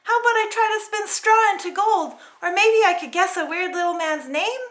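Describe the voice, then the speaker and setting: A woman's voice: extremely high pitch, normal speed, a bit bright, voice pushed up. A woman voicing a sarcastic, feisty young princess in a children's storybook, whiny and exasperated. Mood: indignant, pleading. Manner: exasperated, complaining, emphatic. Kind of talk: children's storybook read-aloud.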